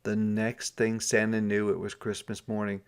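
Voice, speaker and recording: A man reading a storybook aloud to children as a teacher, pausing to ask questions and explain things simply. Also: fairly low pitch, completely flat delivery, clean tone. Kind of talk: interactive storybook read-aloud.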